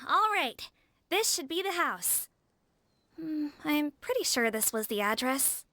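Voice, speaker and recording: A woman playing a self-assured, playful young female officer in a video game, confident and animated in delivery. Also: sits high, takes it slowly, dry recording, heavily acted. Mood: uncertain.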